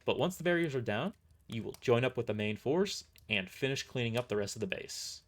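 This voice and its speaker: A man's voice: medium pitch, animated, fairly quick, fairly bright tone. A man voicing a cocky young woman mercenary leader, blunt and smugly mocking, in an audio drama. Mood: businesslike. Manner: taunting, smug, blunt.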